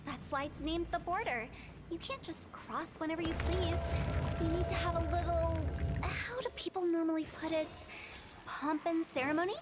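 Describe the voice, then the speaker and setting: A woman's voice: very high-pitched, normal speed. A woman voicing an eccentric, playful young female funeral parlor director in a video game, teasing and theatrical. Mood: breezy, guiding.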